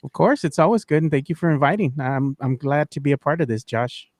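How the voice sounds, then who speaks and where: A man's voice: a little high, expressive, a little fast, a little mellow, no echo, studio quality. A man joining a livestream as a guest over a video call, joking and teasing the others. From Mexican heritage. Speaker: guest, sports media contributor. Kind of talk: casual livestream chat.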